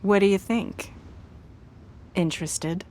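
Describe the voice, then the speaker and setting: An actress playing a poised, shrewd noblewoman and business magnate in a video game, speaking in a composed, formal, measured way. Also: mid-range voice, a little mellow, slight background noise, natural voice. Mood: composed, businesslike.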